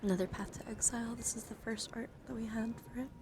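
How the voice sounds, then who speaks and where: Soft-spoken woman streamer, noisy background. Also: fairly high pitch, fairly even intonation. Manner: unhurried casual commentary with murmured, whispery asides. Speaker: livestream host. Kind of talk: soft-spoken ASMR card show-and-tell.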